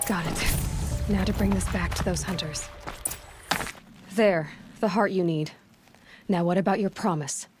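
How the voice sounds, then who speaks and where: A woman's voice: on the low side, measured pace, long pauses, over music, close to an everyday voice. A woman playing a determined, principled young hunter in a video game cutscene, direct and firm. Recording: clear background noise, game ambience.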